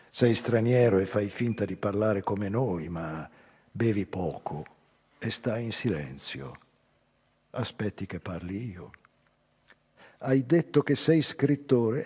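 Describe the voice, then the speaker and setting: An actor playing an old, weary Azorean tavern singer with a hoarse smoker's voice, delivering a wry, confiding theatrical monologue. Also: male voice, lowish voice, mellow, full character voice. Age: older. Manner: brooding, confiding monologue to a silent stranger. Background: live acoustic guitar.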